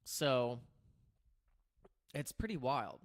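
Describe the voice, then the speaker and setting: Raspy-voiced man rambling on a livestream. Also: mid-range voice, very animated, extremely slow. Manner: rambling, with many restarts and filler words. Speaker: crypto livestream host. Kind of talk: casual livestream chat. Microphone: streaming microphone through streaming software.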